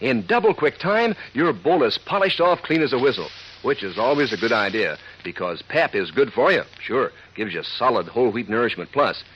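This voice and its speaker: A man's voice: animated, moderate pace, slight background noise. A man reading a 1940s radio advertisement as announcer, folksy and peppy, talking to kids, on an old recording. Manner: folksy, peppy pitchman addressing children. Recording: dull, husky-sounding old radio recording.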